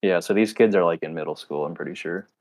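A young man with a fairly low voice, chatting casually as a podcast co-host. His delivery is low-key and flat, close to a monotone, and he rarely raises his energy. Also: fast. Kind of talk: casual chat.

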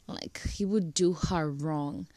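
A woman's voice: low-pitched, slow pace, darkish tone, no room sound. Woman with a flu-cracked voice. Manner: chatty, filler-heavy, with many "like"s. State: slightly cracked voice from flu and a dry cough. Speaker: vlogger. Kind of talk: casual advice talk to camera.

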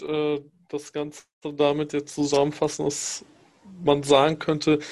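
A man whose first language is Pashto, speaking in a measured, reflective way with frequent hesitations in a casual discussion. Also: on the high side. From Afghanistan.

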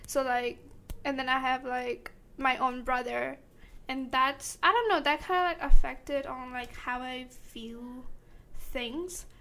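A woman's voice: high voice, steady tone, slow, pushed and bright. A young woman hosting a casual podcast, talking hesitantly with lots of fillers. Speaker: podcast host.

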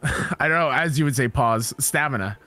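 Man, podcast guest, slightly raspy, relaxed, casual chat, mid pitch. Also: normal speed, no room sound. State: a little raspy from losing his voice.

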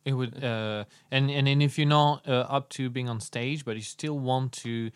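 A man hosting a podcast in a jokey, loose, halting way, chatting with a guest. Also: medium pitch, steady tone, clean tone, dry recording. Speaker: podcast host. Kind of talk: casual interview chat.

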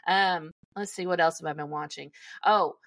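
A woman's voice: lowish voice, steady tone, moderate pace, fairly bright tone, no echo. A woman co-hosting a podcast, chatting casually and conversationally with her co-host. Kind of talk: casual banter between co-hosts.

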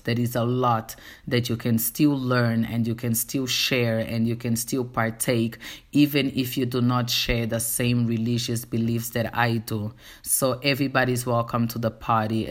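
A woman with a Portuguese accent, a native Portuguese speaker, hosting her own podcast and speaking earnestly straight to her listeners in a casual, faith-centered monologue. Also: very deep voice. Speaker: podcast host.

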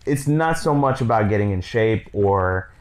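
A man's voice: fairly low pitch, animated, normal speed. A man in his thirties co-hosting a podcast, chatting casually with his wife in a rambling, laid-back way with many fillers. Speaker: podcast co-host.

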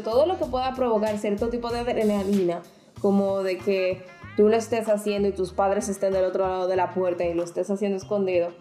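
A woman's voice: medium pitch, mellow. Frank woman explaining in an echoey room. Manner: explanatory, frank, many restarts. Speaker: podcast co-host. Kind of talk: casual chat.

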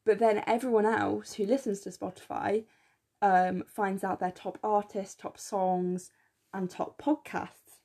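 A young woman co-hosting a podcast in casual chat, enthusiastic and full of fillers, her voice slightly croaky, recorded on a phone in her room. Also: medium pitch, flat delivery, unhurried, dark tone. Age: young adult. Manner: chatty, enthusiastic, many fillers. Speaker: podcast co-host.

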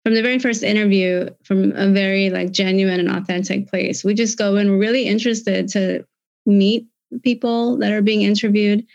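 Gravelly upbeat woman, lo-fi sound. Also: mid-range voice, steady tone, normal speed, darkish tone, no echo. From born in Ethiopia, raised in the US. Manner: upbeat, friendly, some ums. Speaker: recruiter. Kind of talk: casual interview talk. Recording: dull lo-fi audio.

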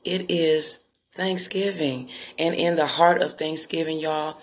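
A woman with a low voice who hosts a devotional podcast and leads worship at her church, talking casually and warmly to her listeners. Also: steady tone, slow, husky. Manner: chatty and encouraging, often addresses listeners as "y'all".

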